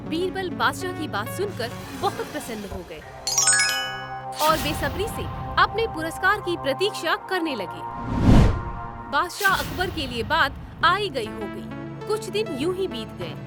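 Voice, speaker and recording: High, lively woman narrating a story. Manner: expressive, measured storytelling. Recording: loud background music.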